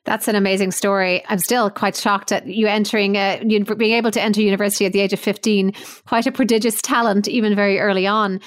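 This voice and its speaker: A woman hosting an interview podcast, reading scripted introductions and asking lively questions. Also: medium pitch, fast talker, few pauses, no room sound. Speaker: podcast host.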